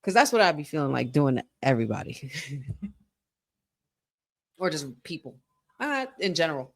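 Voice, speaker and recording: A woman co-hosting a wrestling watch-along, talking in an excitable, chatty fan style with lots of "like". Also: deep voice, moderate pace. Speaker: podcast co-host. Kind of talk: casual watch-along commentary.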